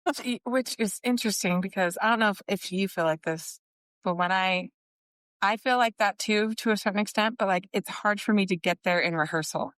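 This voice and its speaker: A woman's voice: mid-range voice, moderate pace, a bit bright, no echo. A woman co-hosting a podcast, chatty and recounting memories. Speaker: podcast co-host. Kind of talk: casual chat.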